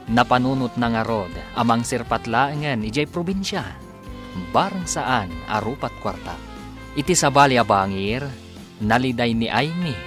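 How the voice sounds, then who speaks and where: Casting: Ilocano male radio announcer, medium pitch, lively dramatic narration of serial recaps and credits. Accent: Ilocano Filipino. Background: loud music.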